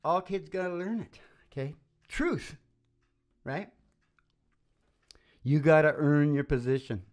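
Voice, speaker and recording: A man's voice: on the high side, takes it slowly. A middle-aged man ranting casually and emphatically, often checking that the listener agrees, speaking at a stand microphone in a small room. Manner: emphatic, excitable, seeking agreement with tag questions. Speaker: live music show host. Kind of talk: opinionated casual rant. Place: small dim wood-panelled room.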